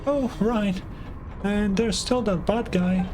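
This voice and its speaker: A man voicing a small, excitable game companion, anxious and caring, with questioning, exclamatory delivery. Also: high-pitched, slow, music in the background, pitched above the performer's own voice. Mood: relieved, musing.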